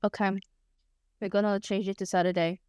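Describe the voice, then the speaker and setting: A woman's voice: mid-range voice, level tone, fairly quick, darkish tone, smooth, no echo, studio quality. A young woman from Singapore with a mixed, partly Singaporean accent, rambling casually as a streamer while she plays, often laughing and pleading playfully. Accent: mixed, partly Singaporean English. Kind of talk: casual livestream chat while gaming.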